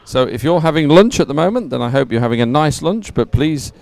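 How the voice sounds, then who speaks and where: Clear, smooth-voiced male event commentator. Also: fairly high pitch, lively intonation, fairly quick, a little mellow, no room sound. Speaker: sports commentator. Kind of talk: chatty live event commentary.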